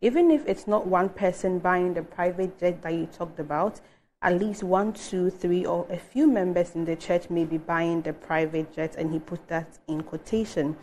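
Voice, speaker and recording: A woman with a fairly low voice reads viewer comments aloud, steady and clear. There is a faint background hum. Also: moderate pace, poor audio. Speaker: social media desk co-presenter.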